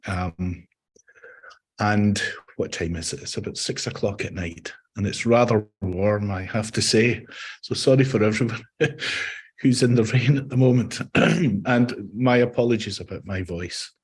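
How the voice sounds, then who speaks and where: Male meditation teacher, hoarse and husky, lowish voice, measured delivery over a video call. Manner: calm, measured explaining. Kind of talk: webinar presentation.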